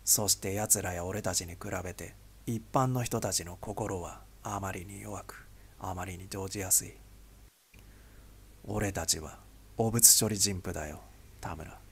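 A man acting a gravelly, grim spy boss. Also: sits low, measured pace, fairly bright tone, pitched below the performer's own voice, exaggerated voice. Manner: heavy, somber, terse. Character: grim, weary male spy boss, stern and commanding.

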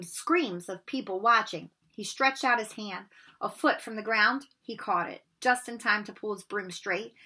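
A woman in her forties reading a children's novel aloud in an expressive storytelling style. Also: mid-range voice, slightly rough. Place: bedroom at home, indoors.

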